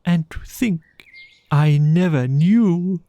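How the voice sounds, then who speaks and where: A man voicing a dreamy, boastful toad in a trance for a children's storybook, murmuring in drawn-out rapture. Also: fairly high pitch, dark tone, dry recording, pitched above the performer's own voice. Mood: dreamy, grandiose.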